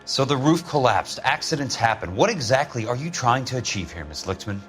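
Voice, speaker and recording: An actor playing a tough, skeptical American insurance investigator in a noir video game, brusque, dry and sardonic. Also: male voice, medium pitch, very animated, a little fast, faint music behind, close to an everyday voice. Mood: wry, probing. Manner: blunt, dry, probing.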